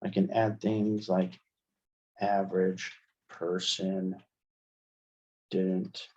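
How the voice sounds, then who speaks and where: Slow-paced man presenting a software tutorial. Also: low voice, flat delivery. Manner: informal, step-by-step explaining. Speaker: instructor, webinar presenter. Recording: dull, lo-fi webinar audio.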